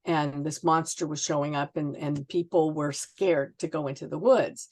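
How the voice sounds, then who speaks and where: A woman's voice: low-pitched, steady tone, moderate pace, a little mellow. A Canadian woman in her sixties from Ontario, chatting and telling anecdotes as a guest author in a casual interview. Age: 60s.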